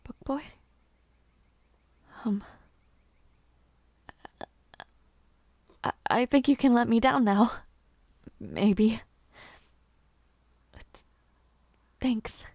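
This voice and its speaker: Young aspiring lady knight played by a woman, gentle and protective, unhurried delivery, soft halting speech, intimate audio roleplay. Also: fairly high pitch, big pitch swings, creaky, full character voice. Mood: shy, fond.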